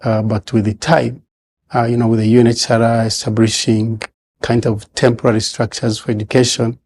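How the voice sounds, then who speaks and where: A man who grew up as a refugee, telling his story in an interview, halting with many fillers and pauses. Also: medium pitch, flat delivery, darkish tone, slightly rough. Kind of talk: interview testimony.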